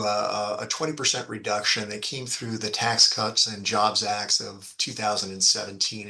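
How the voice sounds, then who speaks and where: Man, fund executive, very sharp forceful tone, gravelly low-end creak, halting explanatory delivery, business presentation. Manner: halting, frequent ums and repeated words. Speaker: fund executive presenting on an investor earnings call.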